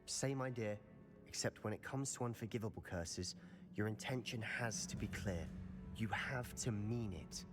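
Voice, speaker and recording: An actor playing a confident young male wizard student who calmly persuades a friend to learn a forbidden spell. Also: on the low side, normal speed, lightly acted. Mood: persuasive. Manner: calm, persuasive, conspiratorial. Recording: game voice over loud music. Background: game music.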